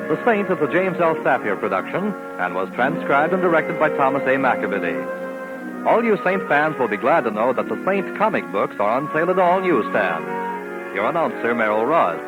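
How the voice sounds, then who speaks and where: A man's voice: mid-range voice, sing-song, moderate pace, low-quality recording. A man announcing scripted credits in 1950s network radio style on an old broadcast recording. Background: loud music.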